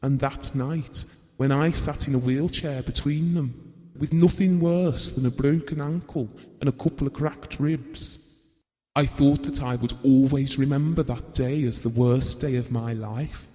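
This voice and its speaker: An actor playing a devoted, gentle husband and father, telling his memories earnestly and tenderly in an audio drama. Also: mid-range voice, fairly even intonation, unhurried, lightly acted. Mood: grieving. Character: Bill, devoted, gentle husband and father recalling a family tragedy. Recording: reverberant hall-like sound.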